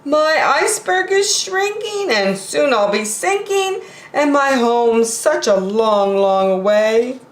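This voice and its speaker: A woman's voice: sits high, lively intonation, slow, voice pushed up. A woman voicing a polite, apologetic lost male polar bear from a picture book, plaintive, in an echoey room. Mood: pleading. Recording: roomy home recording with echo.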